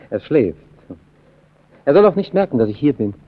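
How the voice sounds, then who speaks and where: Wry, lonely radio-play loner. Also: male voice, medium pitch, sing-song, measured pace. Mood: tender, confiding. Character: lonely, wry man, quietly defiant and tender. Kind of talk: German radio drama acting. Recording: muffled, noisy archival radio recording.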